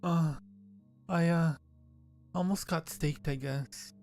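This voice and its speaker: Man acting as wretched digging creature girl, game dialogue, anguished, repetitive, faint fry, background music. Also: on the high side, fairly even intonation, takes it slowly, a little mellow, pitched above the performer's own voice. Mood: weak, evasive. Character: self-loathing, hungry female vampire mole-like digger. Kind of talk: reading game dialogue aloud.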